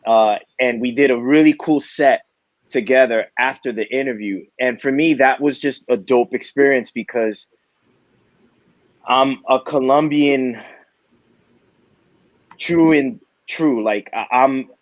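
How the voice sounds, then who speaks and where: A man chats as a guest in a relaxed, candid way, dropping casual slang. His voice sits mid-pitch, and the recording is dry and a little dull. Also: slow, pauses often, dry recording. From New York City. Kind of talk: casual interview chat.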